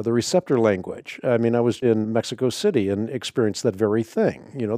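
A man's voice: medium pitch, mellow, dry recording, clean studio sound. A man whose first language is Dutch, hosting a radio show and answering a caller's questions with emphatic talk and frequent repeated words. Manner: emphatic, repeats words when starting sentences. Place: radio studio.

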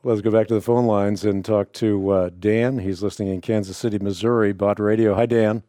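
A man from the Netherlands, a native Dutch speaker with no accent, hosting a call-in radio show and answering Bible questions in a measured, explanatory way. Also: on the low side, fairly even intonation, dark tone, no echo, clean studio sound.

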